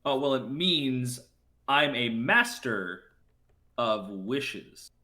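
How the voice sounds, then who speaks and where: A man's voice: mid-range voice, lively intonation, very slow, pushed and bright, heavily acted. A man playing a brash, impatient wish-granting spirit with slangy salesman's patter in a comedic reading. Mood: brash, exasperated. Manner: slangy, exasperated dude-speak.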